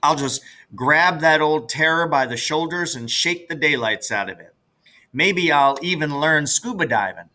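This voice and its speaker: A folksy country boy out of a storybook, played by a man. He grumbles and teases in a bright, forceful voice pitched a bit above the reader's own. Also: animated, measured pace. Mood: musing. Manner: folksy, colloquial, exasperated. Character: grumbling, teasing country boy, anxious but fond.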